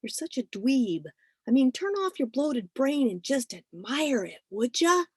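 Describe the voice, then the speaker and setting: A woman voicing an excitable, playful fifteen-year-old boy who talks in teasing slang, in a story reading. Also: sits high, measured pace, slightly rough, no room sound, clean studio sound, voice pushed up, full character voice. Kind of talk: audiobook-style character reading.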